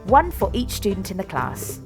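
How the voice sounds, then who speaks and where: A woman reading a children's storybook aloud in an expressive, dramatic way, softening to a hush at times. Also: mid-range voice, normal speed, vocal fry. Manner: animated, dramatic delivery with softened hushed moments. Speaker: storybook reader. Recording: music behind the voice. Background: music and story sound effects.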